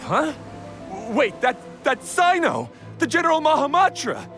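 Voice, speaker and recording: A man's voice: extremely high pitch, wildly swooping pitch, a little slow, bright, forceful tone, soft background music, heavily acted. A man playing a timid, pleading young bandit henchman in a video game. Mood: startled.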